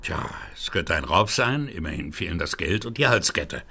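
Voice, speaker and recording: An actor playing a cocksure, matter-of-fact police inspector in a German radio play, with a gravelly voice. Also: male voice, low voice, very animated, normal speed, bright, forceful tone, heavily acted. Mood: confident, speculating.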